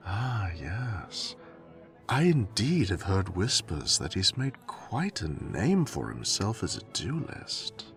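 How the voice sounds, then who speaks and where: Guy playing a posh-mannered fae butler, really low voice, slow and swoopy, super polite and dry. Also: a bit bright, heavily acted. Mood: charming, gracious. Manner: courtly, formal, unctuously polite diplomacy. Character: elegant, dryly witty male fae butler to royalty. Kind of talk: scripted audio roleplay acting.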